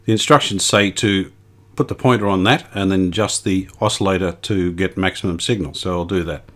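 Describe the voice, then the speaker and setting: A man's voice: low voice, fairly quick, fairly bright tone. A man giving a casual hands-on repair demonstration, unscripted and thinking aloud as he works. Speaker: host of radio repair video.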